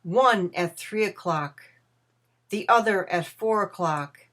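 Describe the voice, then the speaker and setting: A woman's voice: medium pitch, takes it slowly, a bit bright. A woman voicing the courteous ghost of a dead businessman in a storybook play, with formal, archaic, declamatory speech. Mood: solemn.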